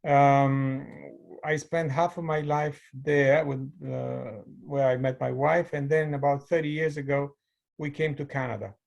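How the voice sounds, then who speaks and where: Romanian-accented man, gravelly voice. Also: medium pitch, fairly even intonation, measured pace, darkish tone, dull audio. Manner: thoughtful, storytelling with light laughs. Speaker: guest, mechanical engineer. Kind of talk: conversational interview. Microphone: video call.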